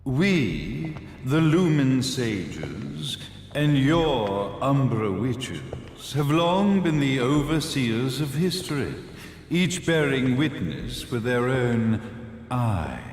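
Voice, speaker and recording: Pompous ancient villain dad for a game, super slow n dramatic, big echo. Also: male voice, medium pitch, sing-song, slight background noise, heavily acted. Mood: solemn, lecturing. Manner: slow, theatrical, pompous, formal. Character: stately, grandiose villainous father, ancient sage. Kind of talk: dramatic video game cutscene acting. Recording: echoey hall reverb, music underneath.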